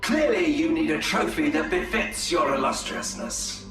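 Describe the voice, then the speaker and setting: A smooth, scheming Eldar conspirator speaks in a slightly high voice, as a man plays him. The sound is echoey and distant, with loud music under it. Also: lively intonation, moderate pace, natural voice. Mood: flattering. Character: cunning, silky male Eldar conspirator.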